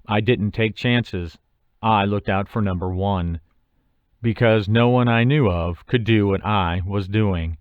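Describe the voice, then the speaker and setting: Low-voiced man narrating an audiobook story, dry sound. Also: takes it slowly, no echo, narrow, tinny audio. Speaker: author reading own short story. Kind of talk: scripted first-person narration, audiobook.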